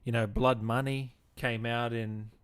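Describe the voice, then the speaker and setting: A man's voice: mid-range voice, flat delivery, a little slow, clear, resonant tone. A man in his twenties chatting casually as the host of a gaming show. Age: 20s. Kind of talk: casual livestream chat.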